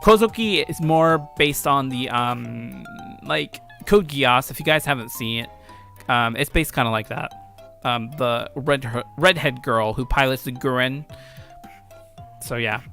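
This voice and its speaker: Bright-voiced man rambling casually over music. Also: mid-range voice, a little slow, creaky. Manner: rambling, informal, self-correcting. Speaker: game creator and video host. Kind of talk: casual game commentary.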